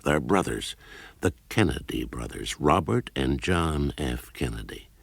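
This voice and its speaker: A man narrating a radio drama as a grave storyteller, in a measured, deliberate and dramatic manner. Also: extremely low pitch, expressive, creaky, breathy edge, dry recording, heavily acted. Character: grave storyteller recounting a political feud, adult man.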